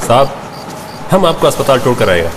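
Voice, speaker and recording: Casting: male actor as a humble truck driver, mid-pitched, folksy and plain-spoken, noisy cartoon soundtrack. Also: very animated, a little fast, natural voice. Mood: kindly, humble. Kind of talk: dramatized Urdu cartoon voice acting. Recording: clearly noisy track.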